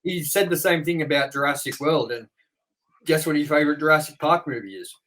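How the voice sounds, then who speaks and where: A slightly higher-pitched man in his thirties co-hosting a film review chat. He talks loosely and at length, drifting into anecdotes and dropping casual swear words as he goes. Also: a little fast, a bit bright. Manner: rambling storyteller, swears casually. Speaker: movie review co-host.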